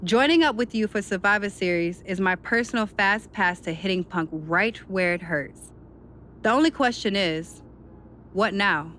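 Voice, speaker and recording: A mid-pitched, assertive wrestler played by a woman speaks with self-assurance in a game cutscene. There is faint noise behind the voice. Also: moderate pace, a bit bright, lightly acted. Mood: self-assured. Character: confident, assertive woman wrestler, champion-beater. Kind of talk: scripted game cutscene dialogue.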